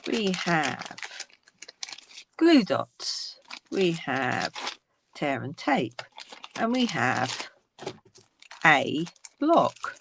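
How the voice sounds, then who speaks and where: An older woman with a croaky voice, hosting a casual craft video and unpacking a card kit item by item. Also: on the low side, noisy background. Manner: chatty, unboxing items one by one.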